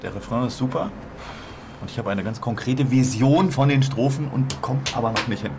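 A man's voice: mid-range voice, normal speed, over music. A man in his thirties, a singer, talking casually and relaxedly in an interview. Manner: relaxed, chatty. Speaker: singer and band founder. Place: backstage and hotel room.

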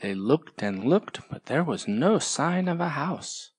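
Storybook narrator, takes it slow, really lively pitch, close dry sound. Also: husky, dry recording. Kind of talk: scripted children's storybook narration.

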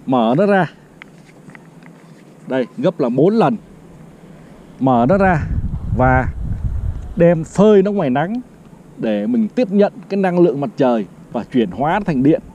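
Casting: man in his 50s, friendly reviewer tone, slightly high and mellow, on a rooftop with a lapel mic. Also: a little hiss or hum. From Vietnam. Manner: chatty, explains step by step, fills with "đó" and "vâng". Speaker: product reviewer. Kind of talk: casual product review vlog. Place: rooftop terrace outdoors in a city. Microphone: clip-on lapel mic. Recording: slightly dull lo-fi outdoor sound.

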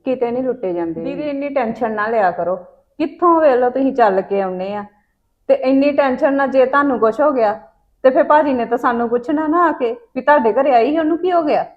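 A woman's voice: high voice, fairly quick, narrow, tinny audio, natural voice. A woman playing a cheerful, easygoing young wife who teases and jokes in a home comedy sketch. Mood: playful. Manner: playful, teasing.

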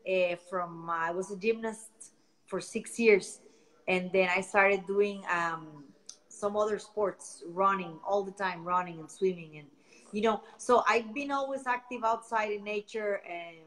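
A woman's voice: mid-range voice, unhurried, a bit bright, rough recording. Brief: Costa Rican woman, 30s, Spanish-accented English, friendly guest, loose and rambling on a video call. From Costa Rica. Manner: friendly, rambling, repeats words while searching for them. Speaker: guest, founder of a beach cleanup project. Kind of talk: casual interview answers.

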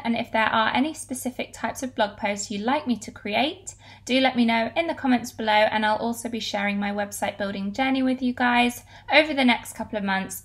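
A young woman chatting casually to camera about clothes she is trying on, recorded in her bedroom on a camera a few feet away. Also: on the high side. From England. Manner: chatty, candid, gestures while holding up clothes. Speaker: fashion vlogger. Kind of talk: casual try-on haul chat. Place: bedroom at home. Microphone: camera on tripod at a few feet. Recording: roomy bedroom echo with music.

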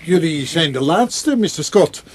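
An actor playing a gallant, assertive party host in a radio drama. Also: male voice, fairly high pitch, lively intonation, unhurried, crowd noise. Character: smooth-talking, gallant male party host. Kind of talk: Dutch radio drama acting.

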